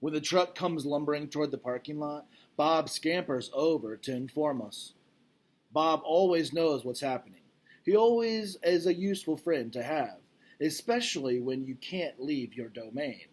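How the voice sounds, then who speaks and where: A man's voice: fairly high pitch, lively intonation, normal speed. A man speaking as a teacher, casually recapping and reading a storybook aloud in an informal, explanatory way, checking in with listeners.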